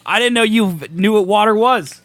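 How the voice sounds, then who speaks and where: A man's voice: high-pitched, a little fast, a bit bright, no room sound, soft background music. A man co-hosting a podcast in casual, teasing chat, getting over a cold. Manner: chatty, laughs often, teasing. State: has a cold. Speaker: podcast co-host.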